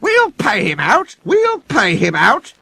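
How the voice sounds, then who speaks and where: Man putting on shrill, scheming lady railway coaches. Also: wildly swooping pitch, slow pace, gravelly, pushed and bright, slightly rough, no echo, studio quality, exaggerated voice. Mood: grumbling. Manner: shrill, conspiratorial, chanting grumbles. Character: giggling, scheming female railway coaches, easily outraged.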